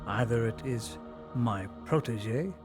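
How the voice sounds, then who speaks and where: A man voicing a cold, scheming male inquisitor lord who delivers measured, menacing threats in a low voice. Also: music in the background, close to an everyday voice. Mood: coldly menacing. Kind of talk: live roleplay acting.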